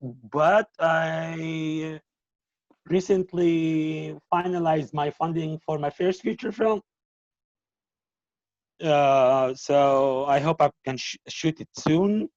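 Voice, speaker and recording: A man whose first language is Arabic, answering interview questions about filmmaking in a halting way and often repeating words. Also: fairly high pitch, darkish tone, no echo, lo-fi sound. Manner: halting, repeats words while searching for them.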